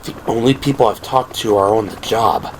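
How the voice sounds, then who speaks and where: A man's voice: lowish voice, brisk, close to an everyday voice, poor audio. A man voicing an earnest, troubled policeman in an audio drama, with a protesting manner, over background noise. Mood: defensive, disbelieving. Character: male police officer, earnest, troubled, disbelieving.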